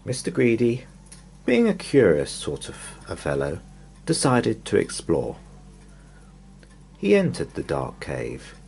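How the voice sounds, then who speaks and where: A man reading a children's bedtime story in an expressive, dramatic way, lingering on repeated words. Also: fairly low pitch, vocal fry, a little hiss or hum.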